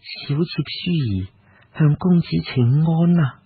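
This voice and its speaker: A man voicing a shy, devoted young woman, a concubine who speaks softly and deferentially in an audio storybook. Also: fairly high pitch, animated, slow pace, slightly rough, no echo, phone-quality sound, heavily acted. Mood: timid, deferential.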